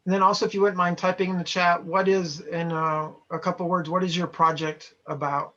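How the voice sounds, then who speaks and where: A man's voice: high-pitched, little pitch movement, fairly quick, sharp tone. A man from Montana, a trainer chatting casually with online participants and reading their chat messages aloud. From Montana, USA. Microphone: video call. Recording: lo-fi video call audio.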